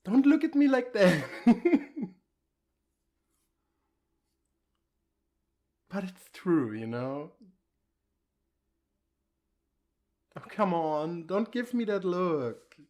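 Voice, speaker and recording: A man playing an affectionate, reassuring boyfriend in an intimate ASMR comfort roleplay, speaking gently and a little haltingly. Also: a little high. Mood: embarrassed, playful. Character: affectionate, reassuring boyfriend, shyly bragging about his partner.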